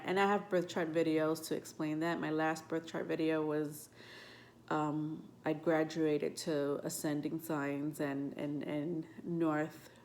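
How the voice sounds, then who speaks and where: A woman's voice: low-pitched, a little mellow, a little hiss or hum. A woman with a stuffed-up nose talking casually to camera as a tarot and astrology vlogger, rambling with lots of ums and self-corrections.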